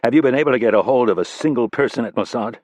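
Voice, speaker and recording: A man playing a tough, blunt male covert operative who talks low, curt and sardonic, in an audiobook. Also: racing, darkish tone, no room sound, close to an everyday voice. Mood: dry, curt.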